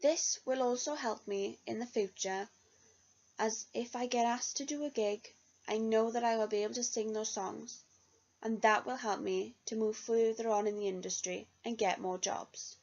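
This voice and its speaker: Gravelly young woman reading a self-review. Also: a little high, steady tone, moderate pace, darkish tone. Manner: earnest, read-aloud delivery. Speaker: music student. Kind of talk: reflective spoken self-review. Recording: dull lo-fi recording.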